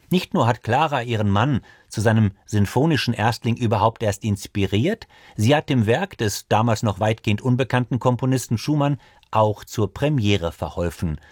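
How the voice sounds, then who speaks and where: A man works as a radio music presenter, reading prepared narration. His voice sits in a mid-range pitch and is recorded very dry, with hardly any room sound. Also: darkish tone, no echo. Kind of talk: scripted narration.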